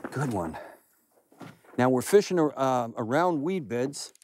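Older man, fishing host, lively pitch, outdoors in a boat, laughing and exclaiming. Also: measured pace, a little hiss or hum. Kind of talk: on-the-water fishing commentary. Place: small boat on a lake outdoors.

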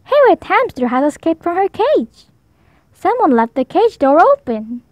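A woman's voice: very high-pitched, sing-song, a little slow, darkish tone, no echo, highs cut off, voice pushed up, heavily acted. A woman voicing a kind but firm female primary school teacher in a storybook, instructive in manner. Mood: concerned. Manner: clear, instructive.

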